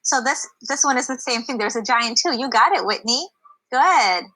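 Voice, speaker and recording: Bright, high-voiced woman children's teacher. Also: animated, moderate pace, poor audio. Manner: encouraging, simple explanatory talk. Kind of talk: interactive online story discussion for children.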